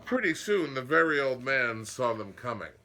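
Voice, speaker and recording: Animated male storyteller with bright delivery. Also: medium pitch, normal speed, slight background noise. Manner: dramatic, with asides to listeners. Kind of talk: expressive picture-book reading.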